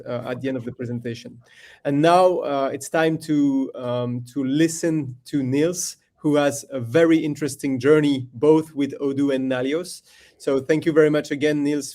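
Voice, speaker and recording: A man's voice: mid-range voice, a little mellow. A man moderates an online business webinar. He speaks politely and a little hesitantly as he hands the floor to the next speaker.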